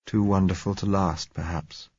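A man voicing a gentle young man reassuring his sweetheart in an audiobook. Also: low-pitched, moderate pace, breathy edge. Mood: tender, resigned. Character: tender young husband, gently reassuring, quietly resigned. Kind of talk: audiobook character voice. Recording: muffled, echoey recording.

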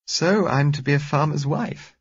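A brisk, spirited young woman's voice, as a male reader puts her on, pitched higher than his own. The voice sounds husky, and the recording is dull and muffled. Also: no room sound. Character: young Englishwoman, spirited, briefly tearful.

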